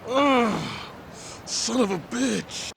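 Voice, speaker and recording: A man's voice: extremely high pitch, expressive, extremely slow, vocal fry, background noise, full character voice. An actor playing an aggressive male yakuza thug in a video game, growling strained threats. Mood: angry, frustrated. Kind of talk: English video game cutscene acting. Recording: loud music over the voice.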